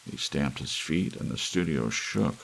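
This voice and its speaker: Male storyteller for ASMR audiobook: very low, creaky, expressive pitch movement, soft rain underneath. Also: unhurried. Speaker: audiobook narrator. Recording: faint hiss with rain sound.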